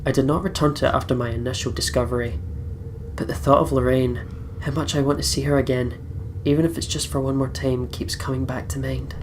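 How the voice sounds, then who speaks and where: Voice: mid-range voice, level tone, fairly quick, background noise. A let's play host reading game narration aloud dramatically, with casual, sweary commentary.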